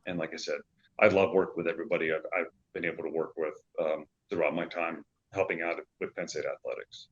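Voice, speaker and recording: A man's voice: a bass voice, steady tone, quick pace, muffled recording. A middle-aged man giving casual, effusive interview answers as a guest, often repeating himself for emphasis. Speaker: public address announcer and podcast guest.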